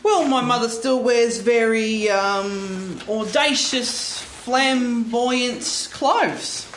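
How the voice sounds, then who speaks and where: A woman's voice: on the high side, very slow. A woman playing a meek, dutiful office clerk in a radio play, speaking politely and hesitantly. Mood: wry, sheepish. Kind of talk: stage radio play acting. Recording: roomy live studio, faint noise.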